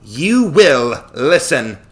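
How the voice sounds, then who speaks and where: A man's voice: mid-range voice, sing-song, very slow, fairly bright tone, pitched above the performer's own voice, exaggerated voice. A man voicing a furious, haughty huntress goddess with a barking, imperious delivery in a dramatic story. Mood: scornful fury.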